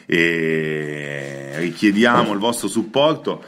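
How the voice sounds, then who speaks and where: A man's voice: sits low, lively intonation, slow, fairly bright tone. A man, chatting casually as a podcast co-host. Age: 20s. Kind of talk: casual chat.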